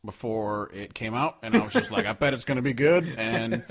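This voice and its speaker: A man's voice: mid-range voice, very animated, fairly quick, vocal fry, a crowd in the background. A man co-hosting a podcast, giving his take on music in a casual, conversational way with the odd swear word. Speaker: podcast co-host. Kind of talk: casual country music review chat.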